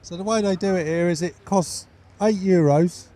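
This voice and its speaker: A man's voice: high-pitched, warm tone. A man, chatting casually and interviewing people outdoors at an event. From England. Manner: chatty, matey, asks quick questions. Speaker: vlogger and interviewer. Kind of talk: casual chat and on-the-spot interviews. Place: outdoors at a busy seaside event.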